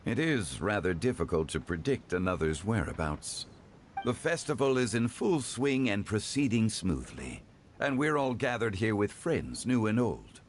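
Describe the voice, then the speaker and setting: A calm, erudite game gentleman with a low, expressively varied voice. He speaks in formal, measured phrases over faint noise. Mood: composed, gracious. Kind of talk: anime game voice acting.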